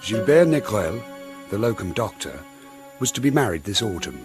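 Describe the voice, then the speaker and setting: A man playing a sober reporter, giving a measured, matter-of-fact account in a radio drama. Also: lowish voice, very animated, music in the background. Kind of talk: scripted news-report narration in radio drama.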